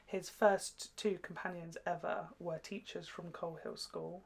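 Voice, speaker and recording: High-voiced British man, casual and excitable. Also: unhurried, creaky, husky. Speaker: reaction channel host. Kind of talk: casual chat.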